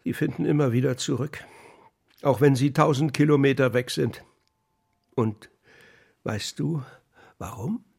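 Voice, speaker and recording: A lonely old pigeon keeper in a children's radio drama. His voice is gravelly and husky, with a rueful manner. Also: mid-range voice, unhurried, pauses often, a little mellow. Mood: earnest, wistful. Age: older. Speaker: actor in a children's radio play.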